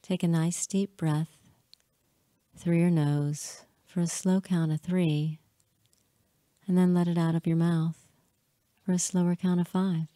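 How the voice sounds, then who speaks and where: A woman leading a hypnotic guided meditation in a soothing, measured way, pausing often between short phrases. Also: on the low side, little pitch movement, mellow, no room sound. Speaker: hypnotist guiding listeners.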